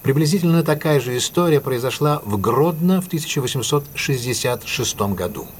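An actor playing a confident, courteous male detective in an audio drama, speaking in a measured, deliberate and formally polite way. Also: mid-range voice, animated, a little hiss or hum, lightly acted. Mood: composed, confident. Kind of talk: audio drama acting, classic detective story.

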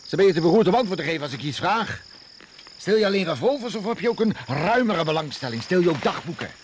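An actor playing an aggressive, suspicious crash survivor in a radio drama, sneering and confrontational. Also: male voice, high-pitched, animated, fast, a little hiss or hum.

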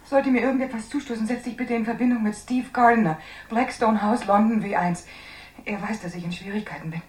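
An actress in a 1960s German radio drama playing a frightened young woman, speaking in a halting, pleading, intimate way. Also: on the high side, fairly even intonation, a little mellow, reverberant, recorded from a distance, lightly acted. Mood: urgent pleading. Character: frightened young woman leaving a desperate farewell message.

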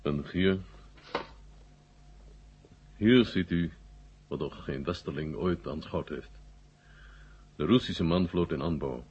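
An actor playing a proud, businesslike Soviet marshal in a 1970 radio drama, speaking with measured, formal authority. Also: male voice, deep voice, darkish tone, slightly rough, heavily acted. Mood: proud, commanding. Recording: dull vintage 1970 radio recording.

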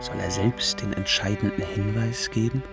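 A man narrating silent-film scene directions in a slow, measured way. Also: lowish voice, steady tone, vocal fry. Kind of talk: scripted narration. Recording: cello music behind.

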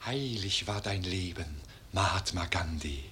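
A forceful, solemn spirit voice. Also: male voice, low voice, animated, a little slow, slight background noise, exaggerated voice. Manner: declamatory, insistent, solemn. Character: forceful inner voice, exhorting a dying man. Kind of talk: poetic radio play acting.

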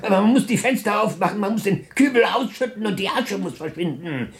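A sick old variety clown in a radio drama. The voice sits fairly high, fretful and stammering, the irritable complaint of a long-ailing performer. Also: male voice, animated, a little fast, crowd noise. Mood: fretful, agitated. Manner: stammering, querulous. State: coughing, sick. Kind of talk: German radio play acting.

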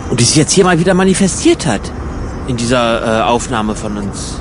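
A man's voice: mid-range voice, lively intonation, fairly quick, lightly acted. A man playing an irritable, bossy traveller in a radio play, talking in an exasperated, colloquial way. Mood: earnest. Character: irritable, bossy male traveller emigrating to Ireland. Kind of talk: improvised-sounding German radio play dialogue. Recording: noisy travel ambience behind voice.

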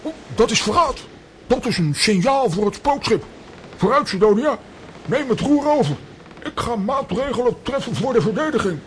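A man acting an excitable, bossy adventurer with an exclamatory delivery in a children's audio drama. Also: very high voice, normal speed. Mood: alarmed, commanding. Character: excitable, bossy, blustering adult adventurer Lambiek. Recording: clearly noisy background ambience.

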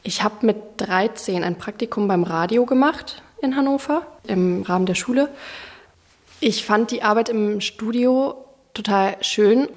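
A woman's voice: mid-range voice, measured pace. A woman of twenty-six from northern Germany, an acting student, giving a personal account in an interview. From Hanover, Germany.

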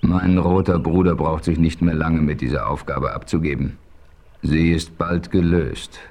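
Casting: steady hero-narrator, very deep, husky voice, calm and firm. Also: male voice, unhurried, exaggerated voice. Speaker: first-person narrator and hero. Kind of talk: 1970s radio play narration.

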